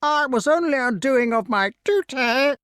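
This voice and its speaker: A storyteller voicing a sneaky tattletale crow with shrill, whining delivery. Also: animated, unhurried, a bit bright, no echo, clean studio sound.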